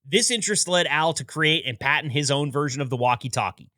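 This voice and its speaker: A man's voice: on the high side, expressive, fairly quick, sharp tone, no echo, clean studio sound. A man hosting a podcast, reading a scripted history narration in an upbeat storytelling manner with wry asides. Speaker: podcast host.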